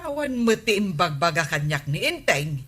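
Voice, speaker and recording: A woman's voice: lowish voice, very animated, normal speed, a bit bright, husky, heavily acted. An actress playing an indignant, questioning village woman in an Ilocano radio comedy drama, speaking with an Ilocano accent.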